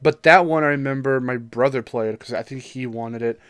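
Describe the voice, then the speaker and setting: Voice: medium pitch, moderate pace, fairly bright tone, clear, resonant tone, no echo. A podcast co-host chatting casually about games in a rambling, joking way, with some swearing. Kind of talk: casual gaming podcast chat.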